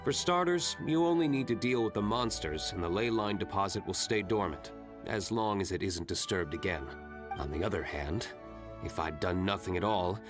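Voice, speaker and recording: Male actor as self-assured vigilante aristocrat for a video game: low voice, reserved, cool, measured delivery. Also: close to an everyday voice. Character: cool, aloof young nobleman vigilante, composed and self-assured. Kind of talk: anime-style video game voice acting. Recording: background music under the voice.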